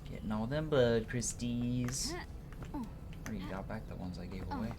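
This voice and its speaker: A man's voice: medium pitch, expressive, normal speed. A man doing livestream game commentary, switching between casual asides and theatrical reading of on-screen story text. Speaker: livestream gamer.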